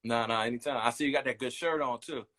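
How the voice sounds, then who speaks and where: A man in his thirties, a brand designer, chatting in a relaxed interview and rambling easily with lots of "you know what I mean". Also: medium pitch, fast talker. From United States. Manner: easygoing, rambling, leans on "you know what I'm saying".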